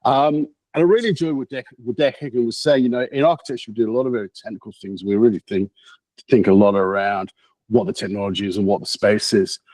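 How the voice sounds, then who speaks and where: A man hosting a meetup, introducing the guest speaker in a halting way with many repeats and restarts. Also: lowish voice, darkish tone, no room sound, low-quality recording. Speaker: meetup host.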